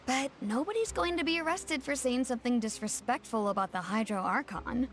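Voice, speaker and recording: A woman's voice: fairly high pitch, a little fast, lightly acted. A woman voicing a proper, law-minded townswoman with a prim, earnest manner.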